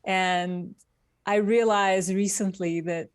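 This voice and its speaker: A woman from Turkey, speaking as an interview guest in unhurried, deliberate phrases. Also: medium pitch, steady tone, clean tone, no echo. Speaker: guest, industrial designer. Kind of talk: conversational interview answers.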